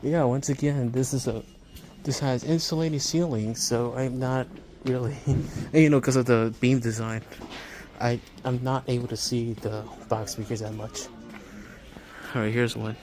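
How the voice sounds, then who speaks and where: A man giving casual, halting vlog commentary like an absorbed hobbyist as he walks around a large store. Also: medium pitch, fairly even intonation, darkish tone, faint music behind. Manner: halting, absorbed enthusiast's narration. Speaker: amateur vlogger. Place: large home improvement store, indoors. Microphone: handheld phone while walking. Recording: store ambience, noticeable background noise.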